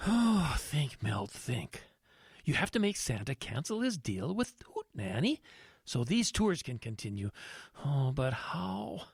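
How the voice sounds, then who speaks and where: A sly, callous travel agent out of an audio drama. He is theatrical and hugely animated in pitch, with a mid-range voice and a close, dry sound. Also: measured pace, creaky, husky, no echo, exaggerated voice. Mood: scheming, smug. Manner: theatrical, muttering schemes to himself. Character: scheming greedy male travel agent, smug and callous.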